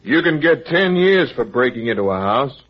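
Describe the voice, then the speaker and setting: An actor playing a menacing, suspicious murderer with a gun in a vintage radio crime drama, curt and threatening. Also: male voice, mid-range voice, very animated, moderate pace. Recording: muffled vintage radio recording.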